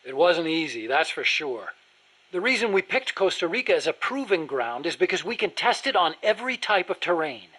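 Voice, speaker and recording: An earnest American weapons engineer from a video game, speaks in a high, sharp-edged voice. He is nerdy and precise, eager to explain every technical detail. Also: sing-song, moderate pace, husky, full character voice. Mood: instructive. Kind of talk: video game dialogue acting.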